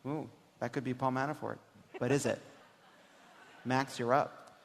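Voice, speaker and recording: A man's voice: mid-range voice, steady tone, measured pace, vocal fry. A man hosting a live comedy panel quiz with teasing, mock-solemn patter. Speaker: comedy show host and quizmaster. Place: live stage before an audience. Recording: echoey hall, loud background noise.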